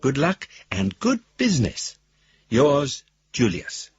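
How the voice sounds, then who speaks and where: A man's voice: fairly high pitch, big pitch swings, takes it slowly, creaky, breathy edge, no room sound, full character voice. An actor playing a self-satisfied wealthy businessman in a radio drama, reading out a letter with false cordiality. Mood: gloating, cordial. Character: smooth-talking, gloating wealthy businessman writing to a rival. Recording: dull, muffled radio recording.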